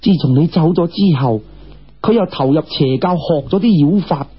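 Man voicing a raspy, wise female immortal mentor. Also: a little high, normal speed, muffled recording. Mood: cautioning. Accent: Cantonese.

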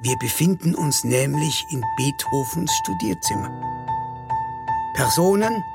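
An actor playing a grandiose, mock-solemn stage presenter in a radio comedy, wryly addressing an audience. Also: male voice, high-pitched, wildly swooping pitch, unhurried, creaky, over loud music, full character voice. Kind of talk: theatrical announcing in a radio comedy.

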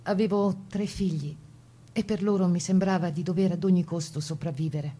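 A proud woman partisan of the Italian Resistance, a mother looking back. Her voice is low and very mellow, with soft, dark edges. She gives a measured, reflective testimony in a radio drama. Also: fairly even intonation, husky. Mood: sober, reflective. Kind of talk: dramatized first-person testimony in a radio play.

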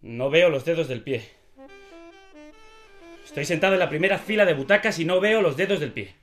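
A man's voice: a little high, animated, normal speed, fairly bright tone, soft background music. An actor from Spain playing an imperious, impatient theatre director in a radio play, curt and demanding, repeating his orders in exasperation. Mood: commanding.

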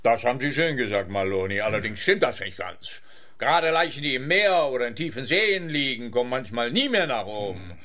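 An actor playing a smug, bumbling police officer in a comic radio play, speaking in a theatrical, officious way. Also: male voice, mid-range voice, big pitch swings, brisk, pushed and bright. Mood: officious, triumphant. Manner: theatrical, officious, muddles his sayings.

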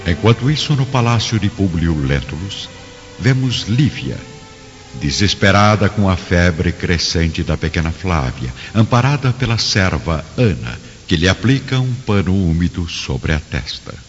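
A man with a Brazilian accent narrating a scripted radio drama in a solemn, deliberate storytelling manner. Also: low-pitched, big pitch swings. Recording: dull lo-fi radio drama with music.

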